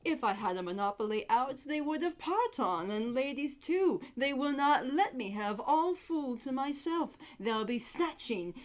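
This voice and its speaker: A woman acts out a cheeky court jester from classical theatre, mocking and full of riddles. The voice sits fairly high, with slow, teasing, theatrical delivery. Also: lively intonation. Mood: teasing, biting. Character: impudent court fool, witty, mocking, riddling, needling an old master. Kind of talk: Shakespearean stage monologue.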